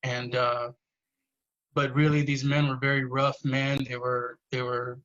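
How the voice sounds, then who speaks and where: A man's voice: mid-range voice, flat delivery, measured pace, fairly bright tone, dull audio. A man, a poet guest on a podcast, telling family stories earnestly, swearing freely and saying "you know" a lot. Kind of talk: casual podcast conversation.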